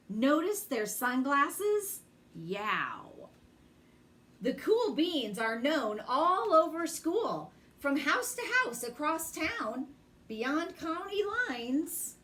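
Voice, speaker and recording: Woman, high voice, slow pace, children's storyteller, theatrical emphasis. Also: big pitch swings, a bit bright. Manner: theatrical, deliberate phrasing with drawn-out emphasis. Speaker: children's storyteller reading a picture book aloud. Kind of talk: expressive bedtime story reading.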